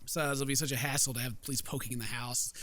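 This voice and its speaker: A man's voice: medium pitch, steady tone, fast talker, fairly bright tone. A man hosting a let's play, giving playful, exclamatory commentary and dramatic read-alouds, close to the mic. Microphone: close microphone.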